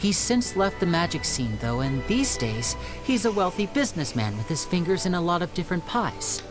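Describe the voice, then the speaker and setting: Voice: mid-range voice, big pitch swings, normal speed, lightly acted. A voice actor playing a charming young male stage magician in a video game, speaking politely and persuasively. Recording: game audio with music.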